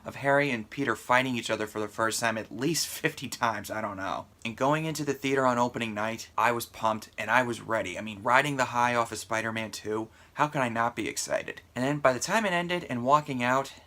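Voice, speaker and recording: A man's voice: medium pitch, a little fast, hardly any pauses, sharp tone. A man giving a casual, opinionated movie review in an emphatic conversational way, sounding a little congested with a sore throat. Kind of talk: casual video review commentary.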